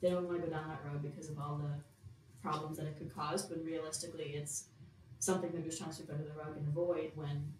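A woman's voice: deep voice, little pitch movement, fast talker. A teenage girl from Nova Scotia with a Canadian accent, talking in a rambling, casual way as a podcast co-host. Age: teens. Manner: rambling, frequent "like". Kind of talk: casual discussion. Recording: very echoey room, distant mic, faint noise.

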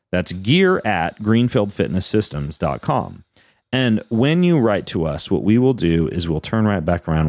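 A man hosting a podcast in casual chat, rambling through lists with a fairly even, monotone delivery. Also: sits low, dry recording, studio quality. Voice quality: self-described monotone. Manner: rambling, lists things off, frequent fillers and restarts. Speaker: podcast host.

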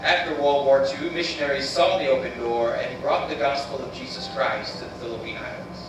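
A man in his thirties from the United States, giving an earnest, measured missionary presentation. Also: mid-range voice, steady tone, over music. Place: church sanctuary, voice played on screen video. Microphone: recorded from room speakers by far camera. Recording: roomy, distant, noisy church playback.

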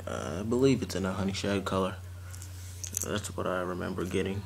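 A young man in his late teens chatting casually and haltingly as he presents items to a camera at home. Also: sits low, fairly even intonation, vocal fry. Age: teens to young adult. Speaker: vlogger showing fishing tackle. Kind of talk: casual unscripted unboxing chat. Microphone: camera or phone mic at arm's length. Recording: noisy amateur recording with clicks and rustling.

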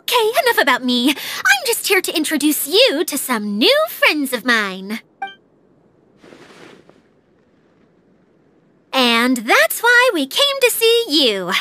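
A woman's voice: sits high, huge pitch swings, unhurried, hardly any pauses, pushed and bright, a little hiss or hum, full character voice. A woman voicing a bubbly young female game character, perky and theatrical. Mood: playful. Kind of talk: video game voice acting.

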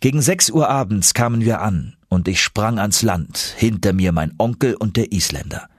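Man, audiobook narrator, dramatic reading, husky, dry recording. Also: fairly low pitch, lively intonation, normal speed, no echo.

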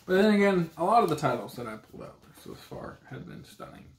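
A man's voice: on the high side, sing-song, fast. A man in his thirties in casual, teasing banter as a book vlog co-host, recorded in a room at home. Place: small room at home, indoors. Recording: roomy echo from camera distance.

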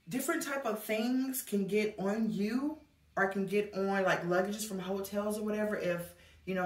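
A woman, chatting casually to camera in a home vlog and calling her viewers y'all. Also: mid-range voice. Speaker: home vlogger. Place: small indoor hallway, later a child's bedroom. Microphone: camera on a stand a few feet away. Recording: echoey room, voice from a distance.

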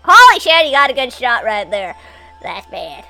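A woman in her twenties chatting casually as she live streams a game, excitable and foul-mouthed. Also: high voice, normal speed. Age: 20s. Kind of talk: casual gameplay commentary and chat with viewers. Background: video game music and sound.

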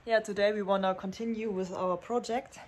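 A woman's voice: mid-range voice, steady tone, a little fast, slight background noise. A woman in her twenties, a native German speaker with a German accent, chatting casually and playfully as a vlogger. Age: 20s. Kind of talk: casual vlog chat and narration.

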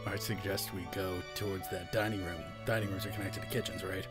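A man's voice: low-pitched, fairly even intonation, brisk. A man improvising casually as a player in a tabletop game. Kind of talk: casual improvised role play. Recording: music behind.

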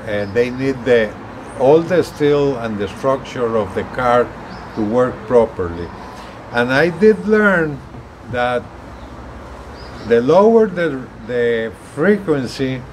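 A man in his sixties giving a casual explanatory vlog talk outdoors, haltingly, often repeating words. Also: medium pitch, rough recording. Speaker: gadget reviewer. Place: outdoors on an apartment balcony. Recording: steady outdoor ambient noise.

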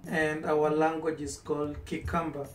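A man's voice: on the high side, level tone, normal speed. A man in his twenties with a Kenyan accent, talking casually as an interview guest. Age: 20s. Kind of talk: casual chat. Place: small indoor room. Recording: roomy, distant mic, music underneath.

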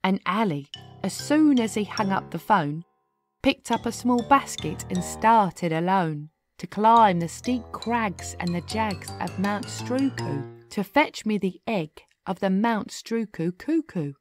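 A woman narrating a rhyming children's story aloud in an expressive, deliberate, rhythmic way. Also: medium pitch. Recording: music behind the voice. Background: music.

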